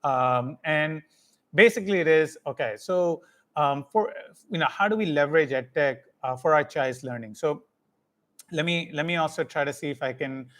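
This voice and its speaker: A man's voice: medium pitch, a bit bright. A middle-aged man, a company director, giving a live talk to parents, switching between English and Hindi with many fillers and checking "right?". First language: English first, Hindi second. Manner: mixes English and Hindi, frequent fillers, tag questions. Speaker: ed tech company managing director. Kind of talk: live session talk to parents, answering questions.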